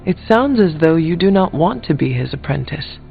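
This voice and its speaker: An actor playing an earnest warrior hero in a video game, talking in a formal, careful way. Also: male voice, fairly high pitch, big pitch swings, moderate pace, creaky. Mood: curious. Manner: formal, careful, avoids contractions. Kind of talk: video game character dialogue. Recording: muffled phone-like band, music behind.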